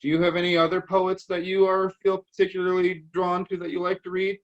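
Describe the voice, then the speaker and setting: A man's voice: sits high, completely flat delivery, brisk, lo-fi sound. A man hosting an interview, asking questions casually and offering short encouraging replies. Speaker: interviewer host.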